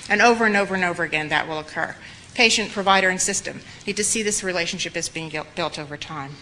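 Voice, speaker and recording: A slightly hoarse woman giving an earnest, reflective spoken lecture to medical students in a lecture room. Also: lowish voice, fast talker, sharp tone, a little hiss or hum, low-quality recording. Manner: earnest, reflective, with emphatic repetition.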